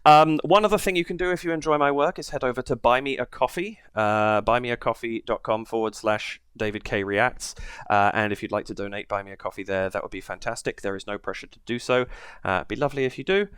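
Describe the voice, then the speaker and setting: A man's voice: medium pitch, quick pace, smooth, no room sound. A man hosting a song reaction video, talking casually with many ums and uhs while stuffed up with a head cold. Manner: chatty, many ums and uhs, warm thanks to viewers. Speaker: reaction channel host.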